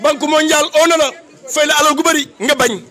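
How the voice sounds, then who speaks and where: Very high-pitched, bright-voiced Senegalese man declaiming. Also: fairly even intonation, quick pace, some chatter behind. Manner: declamatory, emphatic, repetitive. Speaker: political activist giving a tribute. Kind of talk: impassioned public praise speech.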